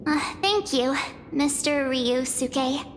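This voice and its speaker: A woman's voice: high-pitched, slow pace, a little hiss or hum. A woman voicing a youthful, cheeky, girlish companion in a video game. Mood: perky, bemused. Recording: long reverb under music.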